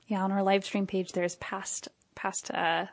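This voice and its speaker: A woman's voice: medium pitch, flat delivery, measured pace, no echo. Friendly staff lady explaining stuff, plain mid voice, dry sound. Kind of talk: casual chat.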